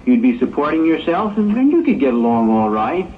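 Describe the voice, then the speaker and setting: A man's voice: fairly high pitch, big pitch swings, fairly quick, narrow, tinny audio. A man playing an earnest, contented young religious volunteer in a 1960s audio drama, speaking persuasively. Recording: thin old tape with hiss.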